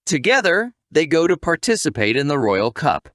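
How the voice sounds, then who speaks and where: A man's voice: on the high side, big pitch swings, normal speed, a bit bright, dry recording, studio quality. A man narrating a scripted story recap, with casual asides to the listener.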